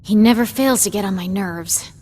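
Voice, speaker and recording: A woman playing a feisty, defiant and protective young woman in a video game, with an exasperated, sharp-tongued delivery. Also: mid-range voice, moderate pace, lightly acted. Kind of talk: video game cutscene acting. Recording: long hall reverb.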